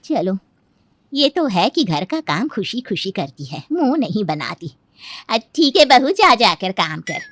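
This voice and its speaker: A woman's voice: medium pitch, big pitch swings, a little slow, a bit bright, no room sound. Lady doing an older mother-in-law, long-suffering and dry, takes her time, comic family story. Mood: tolerant. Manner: resigned, dryly chiding.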